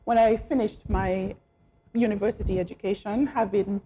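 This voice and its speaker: A woman's voice: medium pitch, moderate pace, slight background noise, rough recording. Middle-aged woman, chatty guest who laughs through her stories. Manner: laughs often, animated storytelling. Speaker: calypso singer and guest. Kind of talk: casual interview chat.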